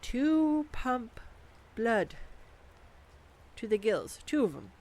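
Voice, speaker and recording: A woman's voice: fairly high pitch, big pitch swings, drawn-out words, darkish tone. A young woman performing a scripted girlfriend roleplay monologue, chatty and giggly, talking to the listener. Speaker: voice actor playing a girlfriend. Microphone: studio condenser mic.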